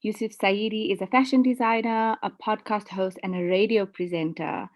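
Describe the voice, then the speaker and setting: Woman magazine interviewer, polite, halting questions padded with fillers. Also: medium pitch, fairly even intonation. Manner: polite, hesitant questions with "you know" and "um".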